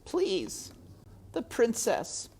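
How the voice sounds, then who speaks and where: A frightened little boy mouse in a storybook voiced by a woman reader. He is plaintive and pleading, high above a normal speaking voice, with slow, wavering swoops. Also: wildly swooping pitch, a little mellow, slightly rough, full character voice. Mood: tearful pleading.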